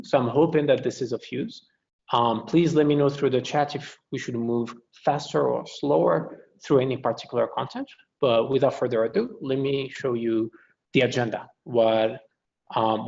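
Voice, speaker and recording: A man from Morocco who lectures in computer science, giving a relaxed, friendly webinar presentation with frequent pauses and fillers. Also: medium pitch, gravelly, dull audio.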